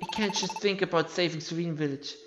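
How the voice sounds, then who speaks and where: A man with a high-pitched voice reads out video game dialogue in character. He is a non-native English speaker voicing a story playthrough, and game music plays behind him. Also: fairly even intonation, brisk. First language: not English.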